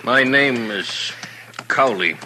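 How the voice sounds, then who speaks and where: An actor playing a weary, lonesome Old West drifter, with folksy American speech in an old-time radio western. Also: male voice, medium pitch, animated, slow pace, over music. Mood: curious, guarded. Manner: folksy rustic speech, wistful and inquisitive. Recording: noisy old radio recording with clicks.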